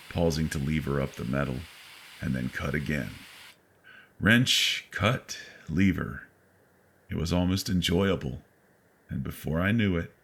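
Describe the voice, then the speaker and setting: A man narrating a horror story in the first person, with deliberate, suspenseful delivery and dramatic pauses. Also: very deep voice, vocal fry, fairly bright tone, a little hiss or hum.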